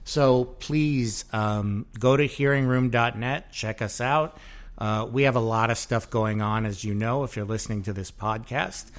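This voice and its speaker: Guy hosting a podcast, kinda rambly, lots of uhs, average pitch voice. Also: measured pace. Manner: loose, rambling with many fillers. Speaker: podcast host. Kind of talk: casual chat, promoting the venue.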